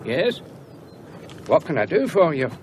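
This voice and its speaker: A man's voice: on the high side, sing-song, measured pace, a little hiss or hum. An actor playing a casual, matter-of-fact postman in an audio horror drama, chirpy and unbothered. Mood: breezy. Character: breezy, matter-of-fact male postman doing his round.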